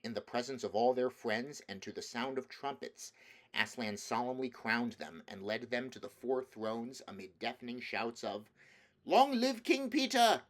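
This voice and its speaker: A man's voice: mid-range voice, steady tone, normal speed, a bit bright. A man reading a children's novel aloud as scripted storybook narration. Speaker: audiobook reader.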